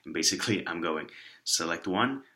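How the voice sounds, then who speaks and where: A man with a raspy voice and a lingering cough, casually rambling through shoutouts with many restarts and asides. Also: sits low, expressive, normal speed. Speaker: author and vlogger.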